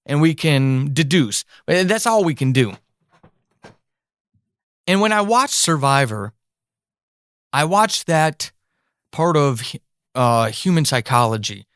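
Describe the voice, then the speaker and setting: An American man speaks in a slightly high voice with very little room sound. He talks like a podcast host thinking aloud to listeners, explaining emphatically and stretching key words for stress. The delivery is conversational and repeats points to drive them home. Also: a bit bright, clear, resonant tone, no room sound, studio quality. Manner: emphatic, explanatory, with deliberate drawn-out stresses and repetitions. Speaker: writer and leadership teacher. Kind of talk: solo conversational podcast monologue.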